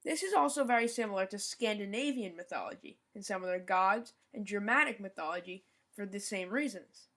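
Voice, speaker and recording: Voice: mid-range voice, animated, a little fast, hardly any pauses, sharp tone. A young podcast host giving a scripted informational rundown, now and then stumbling over foreign names and apologizing.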